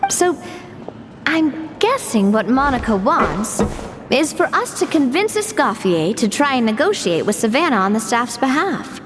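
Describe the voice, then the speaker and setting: A woman voicing a composed young woman who explains things in a measured way. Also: high voice, big pitch swings. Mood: explaining. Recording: game audio with loud music.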